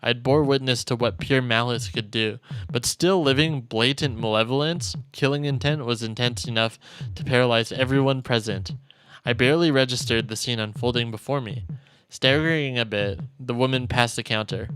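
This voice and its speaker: A man's voice: mid-range voice, normal speed, a bit bright, smooth, dry recording. A man streaming a game, expressively reading visual novel narration aloud. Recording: dry close mic, faint game music.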